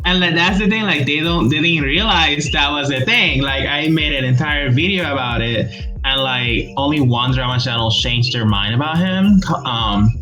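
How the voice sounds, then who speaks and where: A man with a Puerto Rican accent talks in a loose, animated conversational way, his pitch jumping up as he gets worked up. He laughs easily and leans on "like" constantly. Music plays clearly behind him. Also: moderate pace, rough recording. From Puerto Rico. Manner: animated, laughs often, many "like" fillers. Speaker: guest YouTuber. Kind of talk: casual video-call conversation.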